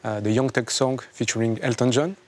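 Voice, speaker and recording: A man hosting an interview in English, asking questions in a somewhat hesitant, halting way. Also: medium pitch, steady tone. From France. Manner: hesitant, slightly halting phrasing. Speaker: interview host.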